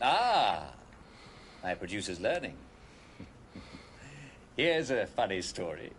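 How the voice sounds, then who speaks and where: An actor playing a chirpy British broadcast host in a retro announcer style, with forced cheer, chuckles and theatrical hesitations. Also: male voice, on the low side, big pitch swings, slow pace, a bit bright, slight background noise, heavily acted. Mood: chirpy, rambling. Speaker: broadcast presenter.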